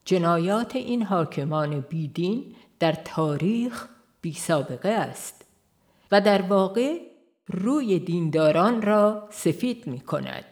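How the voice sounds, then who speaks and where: A woman reading a nonfiction audiobook aloud in a measured, earnest manner, posing rhetorical questions as she goes. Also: fairly low pitch, animated, a little mellow. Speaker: audiobook reader. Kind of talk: scripted nonfiction narration.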